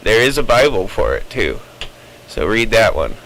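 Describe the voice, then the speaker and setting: A man's voice: lowish voice, very animated, normal speed, vocal fry, a bit bright, low-quality recording. A man chatting casually over CB radio, explaining his opinions at length in a rambling way. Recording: CB radio chatter with faint noise.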